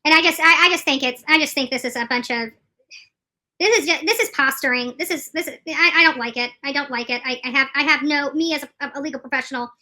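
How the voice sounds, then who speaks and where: High, bright-voiced woman giving emphatic commentary. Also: quick pace. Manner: emphatic, repeats phrases for stress. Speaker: streamer commenting on court news. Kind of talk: live opinionated legal commentary.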